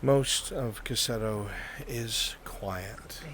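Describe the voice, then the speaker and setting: A man's voice: lowish voice, drawn-out words, fairly bright tone, slight background noise. A man narrating an improvised roleplay scene to players as the game master.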